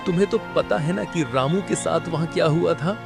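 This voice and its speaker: Village man, acted by a man, gravelly, fairly high voice, earnest, moral tale, faint music. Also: moderate pace. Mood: concerned. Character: concerned village man, questioning and resolute. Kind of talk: acted dialogue in a children's moral story.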